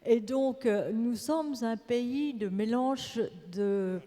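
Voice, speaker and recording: Panel writer, woman, Vietnamese-born; halting delivery, dark tone, long stage reverb. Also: on the high side. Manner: halting, searching for words, many restarts. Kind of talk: panel discussion. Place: large theatre on stage.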